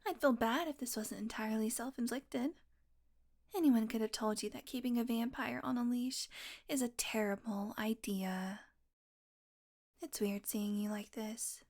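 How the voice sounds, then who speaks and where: A woman playing a sardonic, teasing, predatory vampire with a soft spot, in an intimate, sweary audio roleplay monologue that often drops to a murmur. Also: on the high side, measured pace. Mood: soft, musing.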